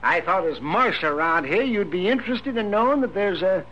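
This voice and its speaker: An actor playing a teasing older frontier town doctor in a 1950s radio western, folksy and hesitant. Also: male voice, high voice, expressive, fairly quick, bright, forceful tone, dull audio. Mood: amused. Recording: noisy old 1950s radio recording.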